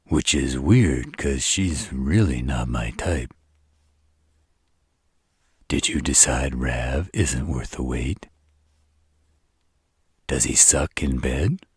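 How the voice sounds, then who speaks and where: A man playing an arrogant, flirtatious vampire in a roleplay audio drama, with a husky voice and a smug, taunting delivery. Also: dry recording, exaggerated voice. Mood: smug, teasing. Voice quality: very deep, husky, rough. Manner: smug, taunting, slow drawl. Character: arrogant, teasing, flirtatious adult male vampire. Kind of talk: roleplay audio drama monologue addressed to the listener.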